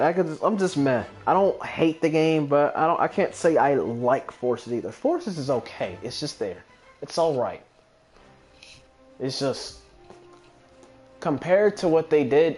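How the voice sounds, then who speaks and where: A man's voice: a little high, darkish tone. A man giving casual, rambling game commentary, thinking aloud and often correcting himself mid-thought. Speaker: gaming video host.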